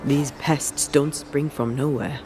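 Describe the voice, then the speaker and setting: A man playing a grave, watchful ghost-hunting companion in a video game, speaking in measured, ominous, formal phrases. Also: fairly high pitch, fairly even intonation, music in the background. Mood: urgent. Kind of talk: dramatic video game dialogue.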